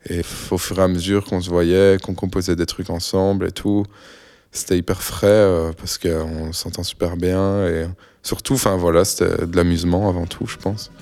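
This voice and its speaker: A young man from Belgium with a Belgian French accent, a musician answering interview questions casually, relaxed and hesitant with many fillers. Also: low voice, normal speed.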